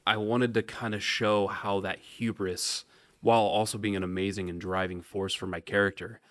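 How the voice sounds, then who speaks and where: A man's voice: fairly low pitch, flat delivery, normal speed, a bit bright, no echo. An American man, an actor, speaking conversationally in an interview.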